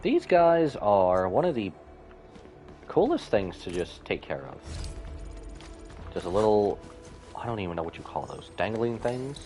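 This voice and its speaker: Animated, lower-voiced man streaming. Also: unhurried, long pauses, faint music behind. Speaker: live game streamer. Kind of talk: casual commentary and reading game dialogue aloud. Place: live stream with game music behind.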